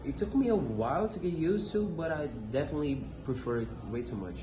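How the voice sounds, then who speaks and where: A man's voice: fairly high pitch, animated, fairly quick. A young man answering interview questions in a scripted school role-play, reading with small hesitations. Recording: echoey room with noticeable noise.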